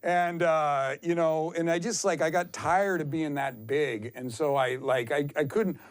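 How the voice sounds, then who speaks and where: Male athlete guest, 50s, fairly high voice, rambling and excitable anecdotes with self-mockery. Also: a little slow. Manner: excitable, self-deprecating rambler who acts out quotes. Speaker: endurance athlete, interview guest. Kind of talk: casual, anecdotal interview storytelling.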